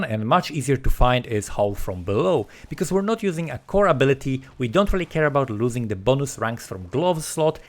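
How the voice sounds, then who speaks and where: A man presenting a scripted video game guide as a voice-over, explaining step by step and addressing the viewer directly. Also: medium pitch. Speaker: gaming video creator presenting a build guide.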